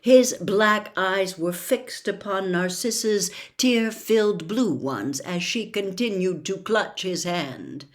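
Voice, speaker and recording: A woman's voice: medium pitch, slow, hardly any pauses. A woman reading a novel aloud as a storyteller, in dramatic, theatrical audiobook narration. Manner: expressive, theatrical.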